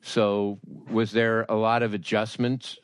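A man's voice: lowish voice, little pitch movement, slow pace, smooth, no room sound. A man hosting a podcast interview in a conversational style.

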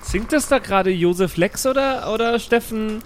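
A man's voice: sits high, moderate pace, a little hiss or hum. A man improvising in character as a player in a tabletop role-playing audio game.

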